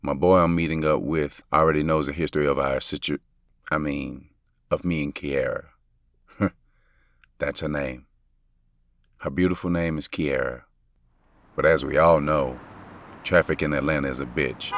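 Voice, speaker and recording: A man's voice: a bass voice, fairly even intonation, moderate pace, pauses often, fairly bright tone, no room sound, clean studio sound. A male actor with an American accent playing a lovestruck, regretful basketball coach who confides in listeners in slangy, conversational audio drama narration. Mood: earnest, confiding. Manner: slangy, confessional, intimate. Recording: music playing under the voice. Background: music.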